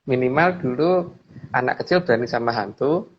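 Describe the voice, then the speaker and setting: Middle-aged man, mid-pitched, casual explaining, repetitive phrasing, muffled lo-fi sound. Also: normal speed. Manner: repeats phrases while explaining, adds frequent tags seeking agreement. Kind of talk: casual discussion, explaining opinions. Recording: dull lo-fi audio, clear background noise.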